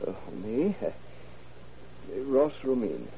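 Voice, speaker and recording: A man's voice: medium pitch, very animated, slow pace, warm tone. An actor playing an evasive, mild-mannered older male forger, hesitant and polite, in an old-time radio crime drama. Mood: resigned, polite. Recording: muffled, noisy vintage radio recording.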